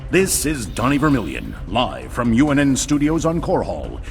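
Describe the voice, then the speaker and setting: An actor playing a glib, pompous propaganda news anchor in a video game, with an American accent and slick, bombastic delivery. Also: male voice, mid-range voice, huge pitch swings, moderate pace, soft background music. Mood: smug, showy.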